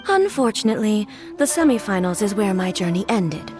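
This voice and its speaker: A woman voicing a calm, dignified goddess who rules a nation in a video game, speaking in a measured, formal way. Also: medium pitch, natural voice. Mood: composed. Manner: measured, stately, gracious. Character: calm, dignified female deity ruler, composed and formal. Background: game music.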